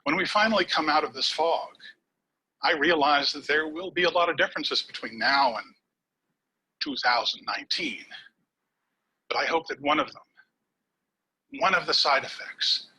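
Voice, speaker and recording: A man delivering a prepared speech from home, slightly higher than most men and with a bright, forceful edge. His voice has a husky grain, and he leans on long pauses and drops key words to a near whisper for effect. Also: low-quality recording. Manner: dramatic pauses and dropped whispers for emphasis. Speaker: contest speaker.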